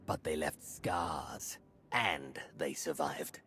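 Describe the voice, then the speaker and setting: An actor playing a gruff, glory-hungry warrior clan chief with a rousing, boastful delivery. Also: male voice, a bass voice, slow pace, bright, forceful tone, a little hiss or hum, heavily acted.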